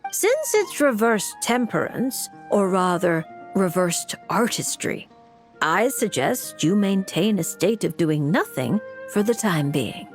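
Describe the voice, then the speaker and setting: Cool female fortune-telling mage, medium pitch, lively melody, dry, blunt, composed, game cutscene, faint music. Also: slow. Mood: solemn, advising. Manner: composed, matter-of-fact, dry. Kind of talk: video game voice acting.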